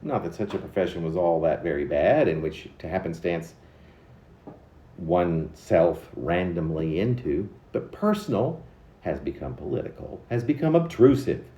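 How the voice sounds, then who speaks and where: A man reading his own poem aloud theatrically, in an arch, mannered, halting delivery with dramatic emphasis. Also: sits low, animated, dark tone, reverberant. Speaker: poet reading own work.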